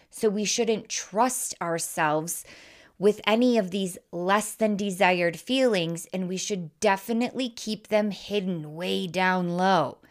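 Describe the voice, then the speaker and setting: A woman with a Midwestern American accent hosting a podcast monologue, speaking candidly and informally with emphatic, persuasive delivery. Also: medium pitch. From Wisconsin, American Midwest. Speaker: life coach, podcast host.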